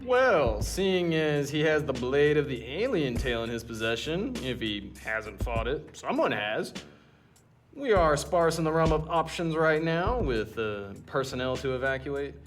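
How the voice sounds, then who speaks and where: A man's voice: a little high, very animated, unhurried, soft background music. A man playing an impatient, coolly arrogant genius doctor who speaks with dry, pompous precision in an audio drama.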